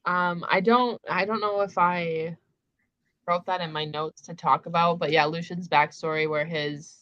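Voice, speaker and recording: A woman's voice: fairly low pitch, steady tone, unhurried, fairly bright tone, no echo, lo-fi sound. A woman hosting a casual book discussion, retelling in an emphatic, conversational way peppered with filler words.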